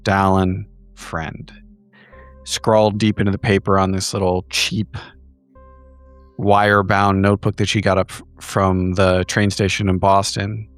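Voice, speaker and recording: Low-voiced man narrating a roleplaying scene. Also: little pitch movement, unhurried, soft background music. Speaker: player in a tabletop roleplaying game. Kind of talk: vivid improvised descriptive narration.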